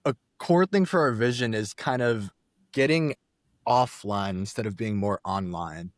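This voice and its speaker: A man's voice: on the low side, a little slow, no room sound, clean studio sound. A young man, a startup co-founder, explaining his product in a casual podcast interview with some fillers.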